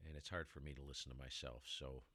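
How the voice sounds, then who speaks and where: A man with a very low baritone voice hosting a casual ham radio tutorial, rambling and sidetracking as he explains, recorded in a heavily dampened room. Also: little pitch movement, fast talker, bright, forceful tone, no echo. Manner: rambling, self-interrupting explainer with mic tests. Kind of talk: casual how-to demonstration.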